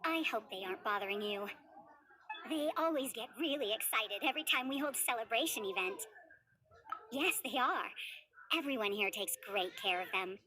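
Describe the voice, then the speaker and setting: An actress playing a gentle widowed mother in a game, content and quietly resilient, speaking in a calm, measured, reassuring way. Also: on the high side, noisy background, lightly acted. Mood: gentle, wistful. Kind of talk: scripted game dialogue.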